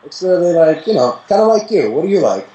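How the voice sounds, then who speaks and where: A young man from the Bronx, chatting casually as an interview guest, relaxed and rambling with many fillers. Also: on the high side, fast, reverberant, dull audio, background noise. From the Bronx, New York.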